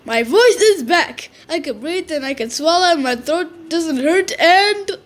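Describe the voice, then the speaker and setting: Brief: woman playing a talkative young boy in a kids' storybook, high and unhurried. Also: expressive. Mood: eager. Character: young boy with a lost voice, chatty.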